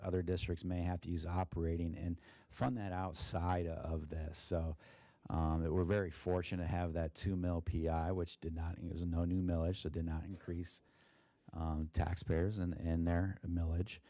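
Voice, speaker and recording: A man, a school district treasurer, presenting a budget at a board meeting in a monotone with many fillers and restarts, with an Ohio accent. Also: sits low, normal speed, dry recording. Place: meeting room.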